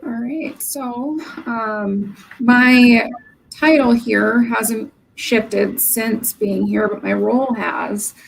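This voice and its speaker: Earnest young woman, gravelly fry. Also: a little high, drawn-out words, a little hiss or hum, low-quality recording. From Oregon. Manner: earnest, fillers of um between points. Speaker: admissions counselor. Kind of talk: interview answers. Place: her campus office.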